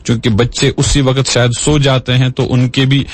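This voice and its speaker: A man working as an Islamic scholar, answering questions in a measured, explanatory way with formal diction. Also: mid-range voice, flat delivery. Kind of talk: religious question-and-answer talk.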